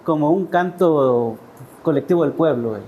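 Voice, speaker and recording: A man's voice: on the high side, moderate pace, muffled recording, slight background noise. A man with a Salvadoran accent giving thoughtful, hesitant interview answers as a writer, often ending phrases with questioning tags. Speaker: interview guest, poet and writer.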